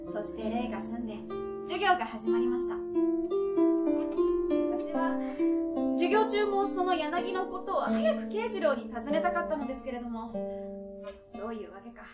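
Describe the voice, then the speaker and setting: A woman in her twenties giving an expressive, deliberate, theatrical recitation of a literary story. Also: high voice. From Tokyo, Japan. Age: 20s. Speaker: stage storyteller. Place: small indoor stage. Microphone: far camera. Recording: roomy stage, distant mic, harp music. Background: plucked harp music.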